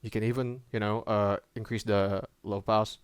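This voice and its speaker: A man presenting a casual app tutorial as a voice-over, with halting, informal and hesitant phrasing. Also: on the low side, level tone, clean tone, no echo.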